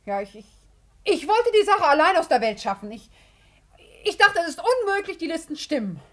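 A distraught woman bookkeeper in an old radio play. Her voice is extremely high and piercing, swinging widely as she stumbles over words and defends herself with wounded pride. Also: big pitch swings, normal speed, fairly bright tone, heavily acted. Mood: flustered, defensive. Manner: flustered, stammering, repeating words. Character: distraught, defensive female bookkeeper, indignant and self-righteous. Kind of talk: 1950s German radio drama acting.

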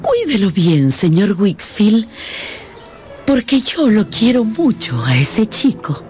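An actress playing a haughty, majestic but kindhearted great-aunt in a radio drama. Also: female voice, medium pitch, huge pitch swings, slow. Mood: commanding, tender. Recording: loud music behind the voice.

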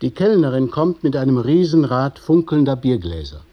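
A man narrating a radio play in the present tense, in a measured, literary storytelling manner. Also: mid-range voice, sing-song, dull audio, close to an everyday voice. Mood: observing. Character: observing narrator of stage directions.

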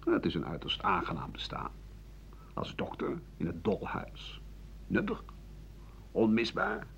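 An actor playing a bitter, cynical old French doctor and writer in a radio play, rambling and scornful with muttered asides. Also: male voice, low voice, wildly swooping pitch, unhurried, vocal fry, a bit bright, heavily acted. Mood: wry, regretful. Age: older. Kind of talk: radio play monologue in interview form. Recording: muffled, noisy 1980 radio drama recording.

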